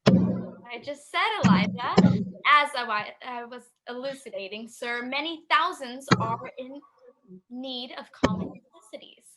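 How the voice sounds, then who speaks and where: A woman's voice: sits high, a little slow, very noisy. A woman acting in a radio play as a genteel, prim charity collector with a formal, flowery way of talking. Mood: flustered, insistent. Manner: formal, flowery, elaborate phrasing.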